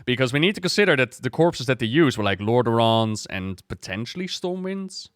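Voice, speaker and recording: A man giving casual reaction commentary as a game lore streamer, musing out loud in a conversational way. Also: medium pitch, very animated, brisk, clean tone, no echo, studio quality.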